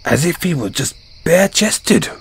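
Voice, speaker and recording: A man's voice: on the high side, big pitch swings, normal speed, gravelly, a bit bright, slightly rough, full character voice. A man playing a kind, modest dwarven blacksmith in a fantasy audio roleplay, talking in a folksy, hesitant storyteller's way to a companion. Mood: fond wonder. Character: kind, modest, battle-scarred dwarven blacksmith, hearty adventurer.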